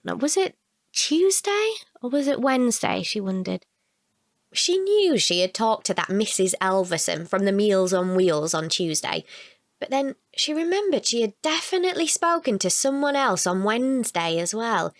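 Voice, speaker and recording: A woman reading a scripted short story as narrator, with expressive storybook delivery. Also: mid-range voice, dry recording, clean studio sound.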